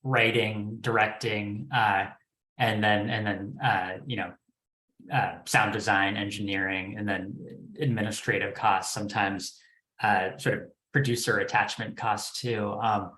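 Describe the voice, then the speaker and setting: A man's voice: fairly low pitch, fairly even intonation, a little slow, pushed and bright. A man speaking unscripted as a panelist, hesitant and repeating words as he thinks, recorded in an echoing room. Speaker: podcast development executive on a panel. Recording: roomy, echoing sound.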